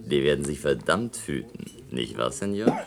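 Sly gravelly male crook, lively intonation, hard bargainer, vintage radio drama. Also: extremely low pitch, normal speed, a crowd in the background, full character voice. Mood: smug. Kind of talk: 1960s German radio drama acting.

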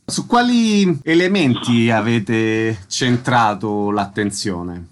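A man's voice: mid-range voice, expressive, slow pace, a little hiss or hum. A man working as an interviewer, putting short, plain questions to his guests.

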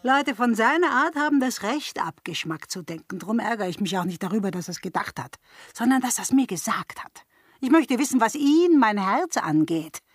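A saucy young maid in a comic period radio play. Her voice is fairly high and slightly rough, recorded close and dry. She teases coyly with a sharp tongue. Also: animated, normal speed, no echo. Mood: scornful. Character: pert, shrewd, teasing young lady's maid.

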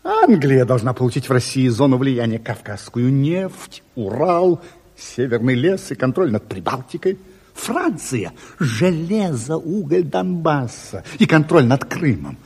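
An actor playing an earnest, courteous, ailing revolutionary in an audio drama, husky and deliberate in speech. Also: male voice, mid-range voice, big pitch swings, a little mellow, exaggerated voice. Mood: grave, urgent. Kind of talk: Soviet audio drama acting. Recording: reverberant studio hall.

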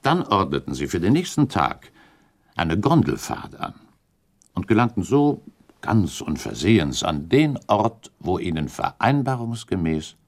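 Methodical, relentless accuser for period radio drama: gravelly, animated, formal and argumentative. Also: male voice, deep voice, measured pace, heavily acted. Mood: methodical, accusing. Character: shrewd male accuser laying out a murder theory, methodical, relentless. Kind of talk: German radio play acting, period dialogue.

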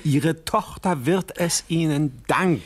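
An actor playing a pushy middle-aged insurance agent in a radio crime play, talking in insistent, unctuous sales patter. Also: male voice, on the high side, slow pace. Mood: pushy, persuasive. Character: pushy, wordy male East German insurance agent.

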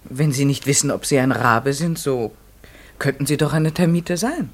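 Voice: mid-range voice, moderate pace, a little hiss or hum, lightly acted. An actor playing an earnest, devoted woman in a radio play, formal and literary in speech and narration. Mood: questioning.

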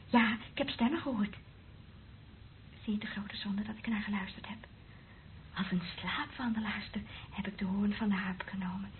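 Through a narrow, noisy old radio recording comes an anguished woman in love. She speaks slowly and intensely, her radio-drama delivery sliding between outcries and hushed whispers. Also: a little high. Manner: intense, swinging from cries to slow whispers. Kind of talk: radio play acting.

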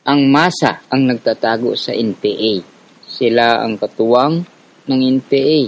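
A man plays a friendly Filipino rebel comrade in a radio drama. His voice sits at a medium pitch, and he explains things calmly and patiently. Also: a little slow, rough recording. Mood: calm, resolute.

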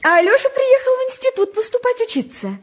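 An actress playing a gentle, earnest young provincial girl in a radio play, speaking in a coaxing, pleading manner. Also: extremely high pitch, animated, fast talker. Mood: earnest, shy. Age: young adult. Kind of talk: 1950s radio play acting.

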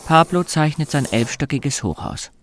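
A man narrating a radio drama as a composed, observant storyteller, in a measured delivery. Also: a little high, lively intonation, fairly bright tone, slight background noise. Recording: music under the narration.

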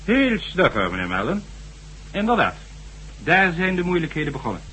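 Male senior government official, radio drama. Higher-pitched, very animated delivery, stern, condescending, formal. Slightly noisy old recording. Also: moderate pace, exaggerated voice. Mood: sardonic, stern. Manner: sardonic, condescending, formal, lecturing. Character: stern, sardonic high government official and former investigator. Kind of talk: Dutch radio drama acting. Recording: faint hum and noise, old radio recording.

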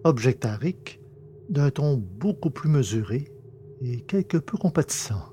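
Brief: man narrating a sci-fi audio drama, mellow warm voice, measured, faint hum under it. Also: medium pitch, very animated. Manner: measured storytelling. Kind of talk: scripted narration.

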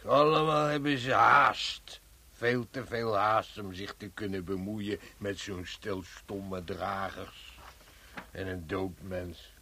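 A bitter working man in a radio drama, grumbling and sarcastic about his grim job. His lowish voice is tense and emphatic, with a deliberate, slow delivery. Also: pushed and bright, husky, no room sound, full character voice. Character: bitter, fed-up working man, a corpse carrier. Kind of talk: Dutch radio play acting.

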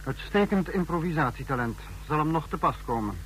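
A man's voice: fairly high pitch, animated, a little fast, fairly bright tone, low-quality recording. Terse, probing male regime leader. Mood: questioning, authoritative. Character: questioning male regime leader, the comrade protector, measured authority. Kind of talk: radio drama acting in Dutch. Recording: radio drama with faint noise.

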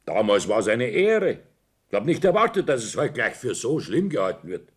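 A man's voice: mid-range voice, big pitch swings, moderate pace, husky. An actor with a Bavarian accent playing a jovial, scheming businessman in a 1960s German radio play. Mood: worried, determined. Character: jovial, scheming businessman father guarding his reputation.

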